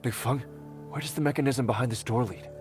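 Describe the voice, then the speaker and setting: An actor playing a bold, wary wandering hero in a video game, earnest and quick to question others. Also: male voice, mid-range voice, music in the background, lightly acted. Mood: urgent. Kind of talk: dramatic video game acting.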